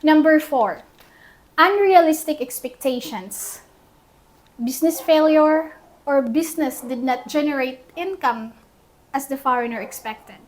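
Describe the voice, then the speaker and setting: Woman, high voice, vlog co-host, deliberate explanatory delivery. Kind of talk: presenting a numbered list to camera.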